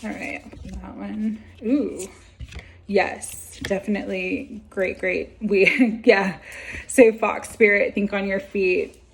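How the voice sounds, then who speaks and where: Woman reading tarot, losing her voice, kinda gravelly and flat, lots of ums. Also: medium pitch, slow pace. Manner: gentle, meandering, many fillers. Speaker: tarot card reader.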